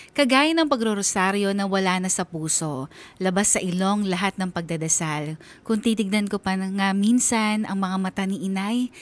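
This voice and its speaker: A woman with a Filipino accent narrating a scripted radio drama in a storytelling style. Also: mid-range voice.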